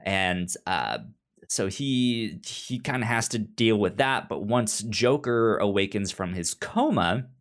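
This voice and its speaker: A man co-hosting a podcast, explaining things in a halting, informal, chatty way. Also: lowish voice, animated. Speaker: podcast co-host discussing comics. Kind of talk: casual chat.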